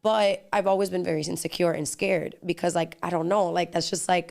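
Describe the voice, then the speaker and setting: Fast-talking raspy young woman. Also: on the low side, steady tone, a little mellow. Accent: Dominican. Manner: rapid chatty storytelling with many fillers. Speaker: guest, singer and actress. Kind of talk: casual interview chat.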